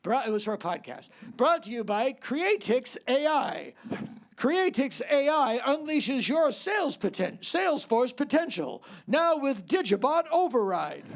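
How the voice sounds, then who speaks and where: A man's voice: very high voice, big pitch swings, measured pace, creaky. A man hosting a live comedy talk show on a theater stage, rambling and giggly with mock bewilderment.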